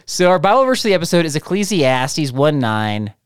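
A man hosts a relaxed podcast chat, joking and teasing as he goes. His voice sits a little high and swings widely in pitch. It comes across smooth and close, with no room sound. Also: fairly quick, no echo. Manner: joking, teasing, laughs often. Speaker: podcast host.

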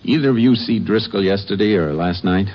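A man acting a calm, terse Texas Ranger investigator in a 1950s radio drama, asking clipped, probing questions. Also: on the low side, fairly quick, natural voice. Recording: dull, noisy old radio recording.

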